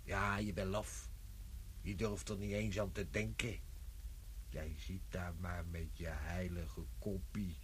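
An actor in a radio drama playing a bitter, quarrelsome married labourer who needles and provokes. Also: male voice, deep voice, flat delivery, slow pace, noisy background. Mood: angry, taunting. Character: embittered, provoking married male labourer, no longer young.

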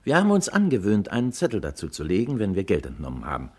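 A man's voice: lowish voice, wildly swooping pitch, dark tone, breathy edge, exaggerated voice. A man playing a puzzled, well-off husband confiding carefully in an old friend, in a 1950s radio crime drama. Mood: puzzled, explaining. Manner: deliberate, explanatory, carefully emphatic.

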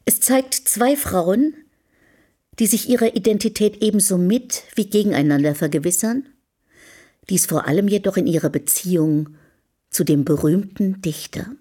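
A woman with a lowish, husky voice works as a radio announcer. She reads a scripted introduction in a measured, formal and very mellow way.

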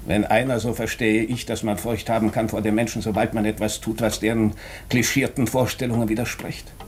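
A man's voice: on the low side, level tone, a little mellow, natural voice. Man playing an earnest, insistent doctor, noisy recording. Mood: earnest, encouraging. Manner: urgent, insistent, deliberate rhetorical questioning. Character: earnest, probing male doctor, morally insistent. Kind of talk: German radio drama acting.

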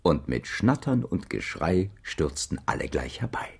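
Playful narrator, low and husky, highly expressive, rhymed children's storytelling. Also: male voice, a little slow, heavily acted. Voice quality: gravelly, husky.

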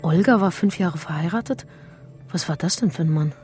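A woman's voice: on the low side, fairly quick, over music, natural voice. An actress playing a brash, flirtatious, clingy woman in a radio crime drama, breezy and frank. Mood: breezy, flippant.

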